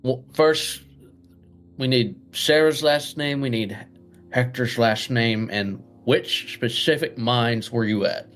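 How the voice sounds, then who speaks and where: A man's voice: mid-range voice, slow pace, a bit bright, soft background music. A man playing a tabletop role-playing game, talking casually as he asks questions and reasons things through.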